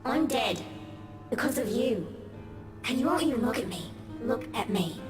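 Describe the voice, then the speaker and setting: A vengeful schoolgirl ghost, voiced by a woman, accusing and insistent, her lively high voice sounds over clear background music. The game audio carries a heavy room echo. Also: moderate pace, lightly acted.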